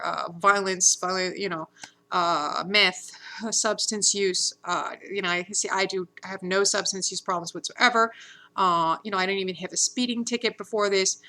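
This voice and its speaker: A woman talking in an unscripted monologue, rambling and halting with many fillers and restarts, earnest and insistent. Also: medium pitch, sharp tone. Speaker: vlogger.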